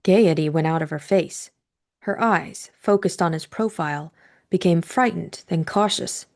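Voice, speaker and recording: A woman's voice: on the low side, measured pace, gravelly, darkish tone. A woman reading scripted audiobook narration as the storyteller.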